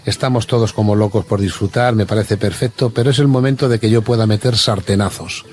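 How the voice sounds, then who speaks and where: A man's voice: fairly low pitch, brisk, a little mellow, a little hiss or hum, lightly acted. A man with an accent from Spain playing a pushy, evasive campsite owner on a prank phone call, formal and repetitive. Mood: insistent, rambling. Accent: Spanish, from Spain.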